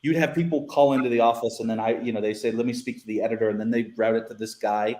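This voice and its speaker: A man's voice: medium pitch, steady tone, fast talker, darkish tone, echoey room, a little hiss or hum. A man with an American accent, a guest who was once a newspaper editor, talking casually and restarting his sentences often. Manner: rambling, repeats words, many restarts. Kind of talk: casual panel discussion.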